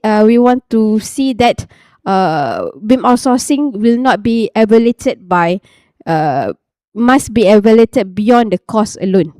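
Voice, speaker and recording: A Malaysian-accented woman researcher giving a conference talk, halting, full of fillers, restarts and repeated "okay". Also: mid-range voice, darkish tone, no echo, studio quality.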